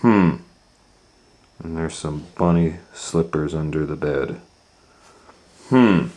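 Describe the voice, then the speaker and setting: A man's voice: rumbling low, slow pace, a little mellow. A man reading a children's story aloud as an educator, asking rhetorical questions and explaining the pictures to young listeners. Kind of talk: animated children's storytime narration.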